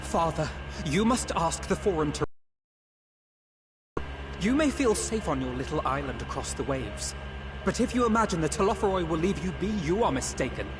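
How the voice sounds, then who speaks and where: A man's voice: a little high, sing-song, moderate pace. An actor playing an earnest, impassioned teenage boy in a fantasy game, speaking formally as a dutiful son. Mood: urgent, defiant. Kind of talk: dramatic fantasy game acting. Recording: clear background noise and ambience.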